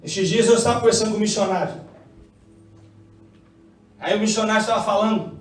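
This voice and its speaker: A Brazilian man from Minas Gerais with a Mineiro accent, preaching an impassioned sermon and swinging from hushed asides to shouted climaxes. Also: sits high, fairly quick. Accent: Mineiro Brazilian Portuguese. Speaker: pastor. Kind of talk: Pentecostal sermon with call-and-response. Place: church hall. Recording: very echoey church, far from mic, music behind.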